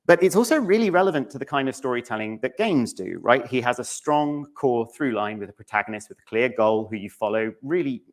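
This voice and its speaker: A man speaks as a presenter at a conference. His voice sits at a medium pitch, and he talks in a conversational way, dropping in wry, offhand asides as he addresses the room. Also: expressive, fairly quick, few pauses. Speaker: conference speaker, game studio narrative director. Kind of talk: public conference talk, conversational. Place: conference room in front of an audience.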